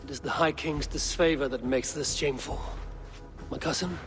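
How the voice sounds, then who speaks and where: A man's voice: on the high side, fairly even intonation, fairly bright tone, close to an everyday voice. Man playing a solemn, resolute Viking warrior. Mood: grave, determined. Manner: solemn, measured, archaic phrasing. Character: loyal battle-hardened male Viking warrior, proud and resolute. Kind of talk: dramatic video game acting. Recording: music behind the voice.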